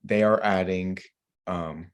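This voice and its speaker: A man co-hosting a podcast, chatting casually in a rambling, halting way with frequent filler words. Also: sits low, fairly even intonation, clear, resonant tone. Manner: rambling, halting, filler-heavy delivery with many "like"s. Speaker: podcast co-host. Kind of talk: casual group chat.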